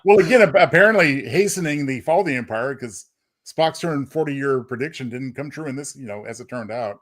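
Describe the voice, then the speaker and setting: Lively-toned man co-hosting a podcast. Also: medium pitch, a little fast. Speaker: podcast co-host. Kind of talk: casual panel chat.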